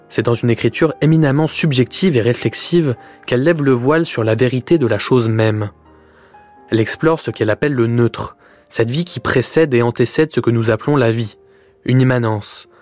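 A man giving a measured, literary scripted introduction as a radio presenter. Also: mid-range voice, a little mellow.

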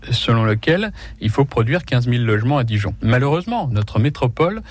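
A man's voice: medium pitch, sing-song, a little fast, darkish tone. A man, a local politician explaining his position in an interview. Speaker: opposition city councillor.

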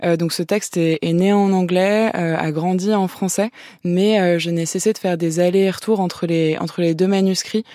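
A woman in her thirties, a novelist, answering interview questions softly with many pauses and restarts. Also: on the low side, steady tone, dry recording, studio quality. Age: 30s. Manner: hesitant, many "euh" and restarts, soft-spoken. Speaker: novelist guest.

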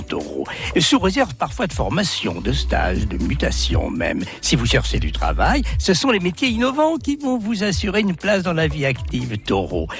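A man's voice: fairly high pitch, gravelly. A man giving horoscopes as a radio guest, emphatic and sing-song, repeating each sign's name. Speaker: astrologer guest.

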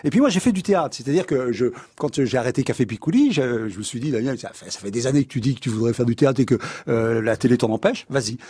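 A man's voice: medium pitch, animated, fast talker, hardly any pauses, dark tone, husky, no room sound. A man from France, a writer guest on a radio show, chatting casually with many restarts and easy laughs. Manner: hesitant, many restarts and fillers; laughs easily, amused.

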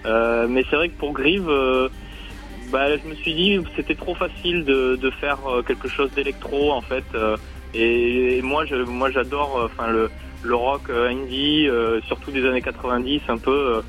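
A man of middle pitch speaks haltingly as a musician guest on a radio broadcast, piling up fillers and restarts. Faint music plays under his voice. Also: a bit bright, low-quality recording. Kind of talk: radio interview conversation.

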